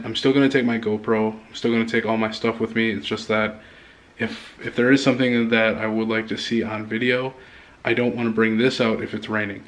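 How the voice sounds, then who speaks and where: A man vlogging casually from his condo, rambling and pausing often to find his words. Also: on the low side, a bit bright. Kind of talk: casual show-and-tell vlog. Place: indoors at home in a condo.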